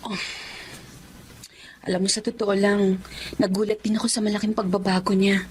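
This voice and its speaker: An actress playing a young adult woman in a radio drama, with a Filipino accent and an expressive delivery. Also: medium pitch, normal speed, a little hiss or hum, close to an everyday voice. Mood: concerned.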